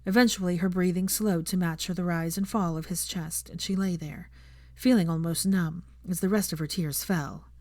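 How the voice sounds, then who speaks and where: A woman's voice: fairly low pitch, steady tone, moderate pace, a little mellow, no room sound. A woman narrating scripted fiction as an audiobook reader.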